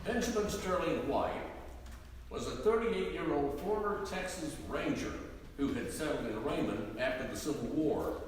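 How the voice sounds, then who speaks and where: A man's voice: on the high side, expressive, moderate pace, natural voice. A man narrating scripted history as part of a staged reading. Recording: echoey noisy hall, distant mic.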